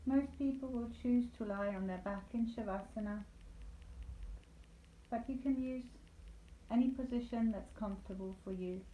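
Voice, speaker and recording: A woman guides a meditation as a yoga nidra instructor. Her tone is mellow and relaxed, with a soothing, deliberate delivery full of pauses. The recording is roomy and echoing, with a faint layer of background noise. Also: a little high.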